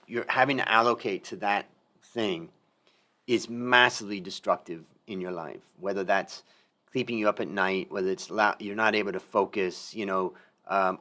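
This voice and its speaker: A middle-aged man with a fairly low voice hosts a podcast conversation. He talks in a reflective, emphatic way and comes back to his main points more than once. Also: a bit bright. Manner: reflective, emphatic, repeats key phrases. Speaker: podcast host. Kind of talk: casual interview talk.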